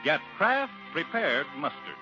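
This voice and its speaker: A man's voice: on the high side, big pitch swings, unhurried. Wildly inflected American radio ad man. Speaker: commercial announcer. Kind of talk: 1950 radio advertisement read. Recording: muffled old radio with music.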